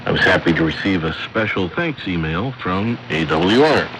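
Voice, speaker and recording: A man's voice: deep voice, expressive, moderate pace. A man hosting a radio program, reading a scripted report over a shortwave broadcast. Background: radio static and noise.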